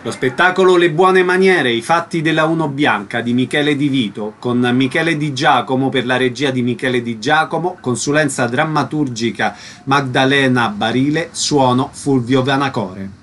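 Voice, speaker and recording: A man's voice: mid-range voice, normal speed, fairly bright tone, slight background noise. A man reading scripted listings and credits as a radio announcer.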